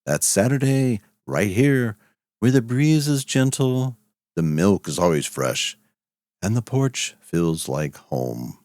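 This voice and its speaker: A man's voice: fairly low pitch, expressive, slow, darkish tone. A man hosting and narrating a cowboy audio show in a folksy, homespun way.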